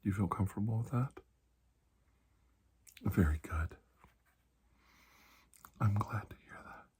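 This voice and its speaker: A man playing a calm, reassuring doctor in an ASMR roleplay, speaking softly and slowly with pauses, often near a whisper, in a breathy, husky voice. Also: fairly low pitch. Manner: slow, calming, deliberate with long pauses. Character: calm, reassuring older military doctor treating alien infection.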